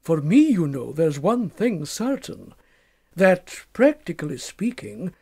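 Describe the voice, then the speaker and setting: Man playing a breezy, cynical young doctor, lively pitch. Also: slow pace, breathy edge, full character voice. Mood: coolly persuasive. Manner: offhand, worldly, persuasive. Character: cynical, unscrupulous young doctor, male, breezy and self-assured.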